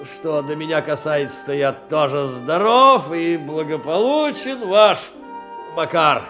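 An actor playing a poor middle-aged clerk, abject, tender and effusive, with old-fashioned courtly phrasing in an audio drama of letters. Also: male voice, a little high, animated, slow pace, bright, forceful tone, full character voice. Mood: rambling self-consolation. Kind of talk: theatrical reading of letters in a stage radio play. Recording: music behind the voice.

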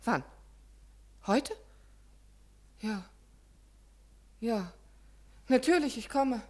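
A woman's voice: a little high, expressive, drawn-out words, full character voice. An actress playing a caring, composed woman helper in a 1950s German radio drama, speaking politely and soothingly. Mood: worried, composed. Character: caring, composed unmarried woman giving nursing help.

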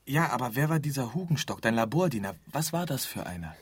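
An actor playing Nothingness as a simple, unflappable, teasing companion in a theatrical radio play. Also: male voice, medium pitch, big pitch swings, fast, slightly rough, no echo. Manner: naive, teasing, matter-of-fact.

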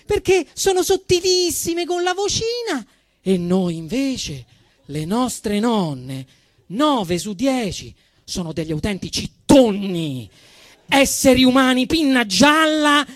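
A man with a Roman Italian accent performing a theatrical comic monologue in an emphatic, mock-dramatic way, drawing out phrases and then rushing. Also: high voice, a bit bright, no room sound, clean studio sound.

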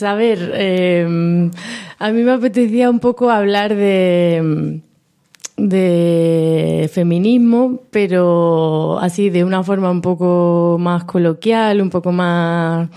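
A woman's voice: on the low side, warm tone, smooth. A woman hosting a radio segment, speaking in an informal, unforced way. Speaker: segment host and writer. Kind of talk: radio talk and satirical scripted narration.

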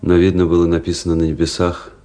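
An actor playing a weary, cynical young army officer who narrates a radio drama in a measured, introspective way. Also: male voice, low voice, completely flat delivery, dark tone, lightly acted. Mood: reflective, measured. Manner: introspective, world-weary, measured literary delivery. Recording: music under the voice.

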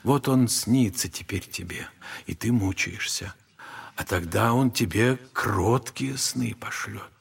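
An actor playing an old monastery elder in an audio drama, solemn and consoling like a preacher, with a husky voice. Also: male voice, on the low side, takes it slowly, exaggerated voice. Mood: gentle admonishing.

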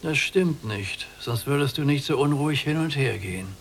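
A man's voice: medium pitch, animated, moderate pace, a little hiss or hum, close to an everyday voice. A man playing a world-weary old prisoner, swooping intonation. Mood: probing. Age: older. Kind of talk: literary radio play acting.

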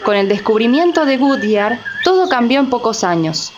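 A woman's voice: fairly high pitch, very animated, moderate pace. A woman reading scripted storytelling narration for a radio drama. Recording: dull, slightly noisy sound.

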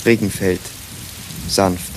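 An actor playing a detached narrator who reads terse stage directions in a radio play. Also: male voice, deep voice, fairly even intonation, takes it slowly, background noise.

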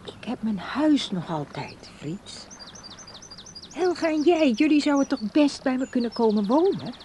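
Animated, lonely older woman, coaxing. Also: high voice, a little slow, a little hiss or hum. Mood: pleading. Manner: pleading, insistent. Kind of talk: radio play acting.

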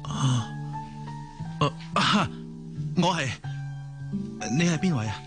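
A man's voice: on the high side, expressive, slow pace, over music. A man acting in a Hong Kong Cantonese radio drama as an earnest young hospital doctor who is evasive and hesitant. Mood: flustered. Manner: hesitant, stammering.

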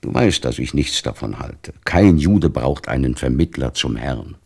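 An actor playing a devout, stern, weary older father in a literary radio play, speaking gravely with a gravelly, husky voice. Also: very deep voice, animated, measured pace, darkish tone, exaggerated voice. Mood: bitter, firm.

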